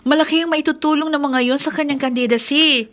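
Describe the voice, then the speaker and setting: A Filipino actress playing a concerned, respectful young daughter in a radio drama, polite and earnest. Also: female voice, high voice, fairly even intonation, fairly quick, a bit bright. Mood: worried. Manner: polite, earnest, mixes in English.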